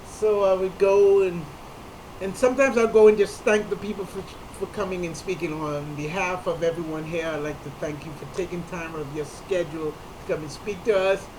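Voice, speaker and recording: A comedian, a man with a high voice for a man, telling a personal story in an earnest, halting way with frequent restarts. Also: steady tone, background noise. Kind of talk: personal talk to camera.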